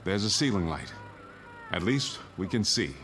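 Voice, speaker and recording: A man's voice: low-pitched, sing-song, measured pace, a bit bright, slight background noise, full character voice. A male actor in a radio drama playing a stubborn, anguished doctor and father, speaking with emphatic resolve. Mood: tense. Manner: emphatic, declamatory.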